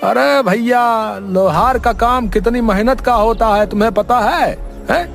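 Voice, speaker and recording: Cartoon rustic blacksmith, very high pitch, skeptical lecturing manner, rural drawl. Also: male voice, measured pace, creaky, fairly bright tone, soft background music, exaggerated voice. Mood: scornful, doubting. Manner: folksy rural drawl with repeated tag words. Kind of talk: cartoon moral story acting.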